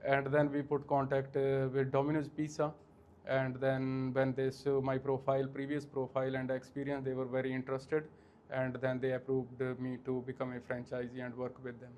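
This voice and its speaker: Indian man, 40s, flat delivery, hesitant guest on an interview, faint noise. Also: mid-range voice, dull audio. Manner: halting, many fillers and restarts. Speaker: guest, franchise owner. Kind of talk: interview answers.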